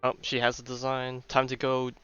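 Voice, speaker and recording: A man's voice: mid-range voice, completely flat delivery, normal speed, a bit bright, highs cut off, faint music behind. A man giving casual, offhand commentary as he streams a video game.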